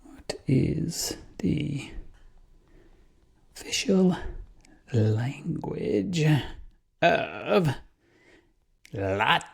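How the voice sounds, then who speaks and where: A man's voice: a little high, very animated, very slow, vocal fry, pauses often, husky. A man co-hosting a podcast about fatherhood, chatting in a playful, joking way. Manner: playful, jokey. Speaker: podcast co-host. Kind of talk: casual podcast chat.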